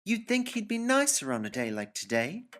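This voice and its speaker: A woman's voice: medium pitch, big pitch swings, moderate pace, a bit bright. A woman playing an earnest young boy, a dutiful nephew, in a comedic audio drama. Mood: earnest, cheery.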